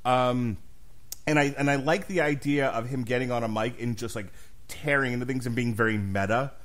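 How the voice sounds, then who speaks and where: A man's voice: mid-range voice, fairly even intonation, a little fast, a bit bright. A man hosting a casual podcast, speaking bluntly and profanely with strong opinions. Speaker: podcast host.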